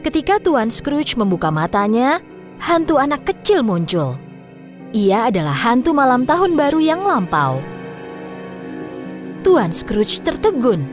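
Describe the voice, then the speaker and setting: A woman's voice: on the high side, very animated, measured pace, long pauses, soft background music. A woman reading scripted narration for a children's story as the narrator.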